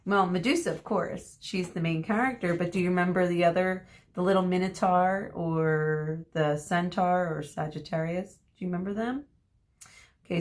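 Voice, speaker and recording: A woman reading a children's story aloud expressively as a teacher, pausing to explain words and ask questions. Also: fairly low pitch.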